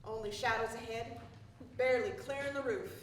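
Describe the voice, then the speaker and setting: A woman's voice: on the high side, steady tone, moderate pace, natural voice. A woman acting an entranced chorus member of a devout commune, incantatory, in a stage play. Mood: rapt. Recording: reverberant hall, distant, faint noise.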